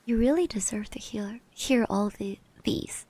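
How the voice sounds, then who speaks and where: A woman doing an ASMR roleplay as a caring girl classmate, soft-spoken and hesitant, close to the mic and often slipping into breathy whispers. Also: fairly high pitch, a little slow. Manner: hesitant, gently reassuring, speaks of herself in third person. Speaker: ASMR roleplay performer, streamer. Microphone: close microphone.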